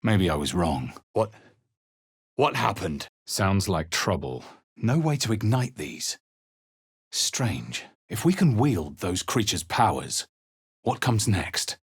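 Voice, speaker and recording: A husky, wary adventurer hero. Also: male voice, deep voice, sing-song, takes it slowly, no echo, clean studio sound, heavily acted. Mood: wary, wry. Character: guarded adventurer hero, wry, suspicious, casts Latin spells. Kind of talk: video game character voice lines.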